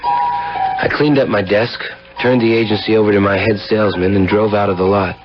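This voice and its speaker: A man's voice: fairly low pitch, fairly even intonation, normal speed, natural voice. An actor playing a cocky, slangy car dealer who narrates his own radio drama story in a wry, hip tough-guy manner. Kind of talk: 1970s radio drama acting and first-person narration. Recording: dull old radio broadcast, music behind.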